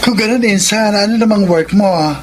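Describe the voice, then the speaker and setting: A woman's voice: medium pitch, normal speed, noisy background, natural voice. An actress playing a young woman who cheers on her cousin, chatty and upbeat. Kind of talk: Filipino radio drama acting.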